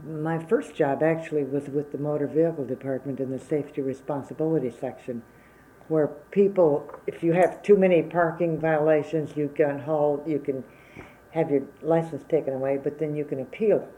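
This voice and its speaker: A woman's voice: low-pitched, steady tone, moderate pace, warm tone, a little hiss or hum, rough recording. A woman in her seventies from Wisconsin whose first language was Czech, recalling her working life plainly and unhurriedly in an oral history interview. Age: 70s.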